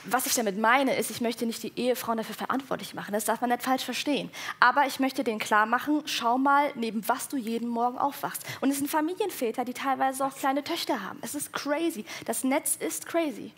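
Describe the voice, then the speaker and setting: Husky young woman with Hessian accent. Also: on the high side, fairly quick, hardly any pauses, some chatter behind. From Hesse, Germany. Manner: lively, blunt and self-mocking. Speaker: online comedian, guest. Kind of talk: candid interview talk.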